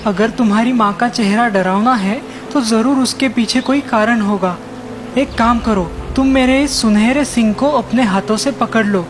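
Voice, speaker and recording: A woman voicing a gentle, wise young magical deer in a children's cartoon, earnest and gently chiding. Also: fairly high pitch, close to an everyday voice. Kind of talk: Hindi children's cartoon dialogue.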